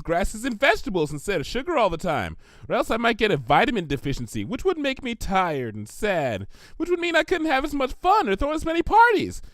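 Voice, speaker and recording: Sweet-toothed young cartoon mare, giddy and rambling male reader in falsetto, very high and animated. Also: no room sound, studio quality, heavily acted. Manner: bubbly, gushing, rambling. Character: hyperactive, sweet-toothed, cheerful young female pony.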